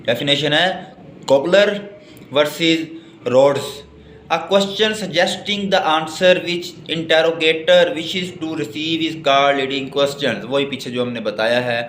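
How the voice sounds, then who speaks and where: A man's voice: medium pitch, a bit bright. A man with a Pakistani accent lecturing in a didactic way, reading out notes and explaining them point by point. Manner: didactic, spells out and repeats points. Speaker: law lecturer. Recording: echoey room with faint background noise.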